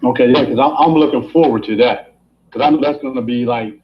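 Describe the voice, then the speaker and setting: Male caller, informal and relaxed, lo-fi call sound. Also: medium pitch, a little fast. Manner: informal, uses fillers like um and like. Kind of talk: casual question to teacher. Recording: dull lo-fi call audio.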